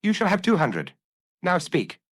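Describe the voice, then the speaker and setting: A man voicing a brisk, commanding ex-police detective in an audiobook, brusque and impatient as he bargains. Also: sits high, wildly swooping pitch, fairly bright tone, dry recording, studio quality, voice pushed up, full character voice. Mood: shrewd. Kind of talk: audiobook character acting.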